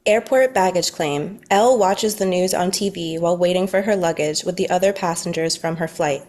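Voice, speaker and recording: A woman's voice: lowish voice, normal speed, darkish tone. A woman reading stage directions as the narrator of a script table read.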